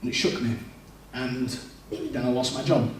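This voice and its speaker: A man's voice: mid-range voice, steady tone, measured pace, a little hiss or hum. Man giving a candid testimony in an echoey church. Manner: candid, self-deprecating, with restarts. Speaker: church speaker. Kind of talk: personal testimony. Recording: echoey church hall, mic far off.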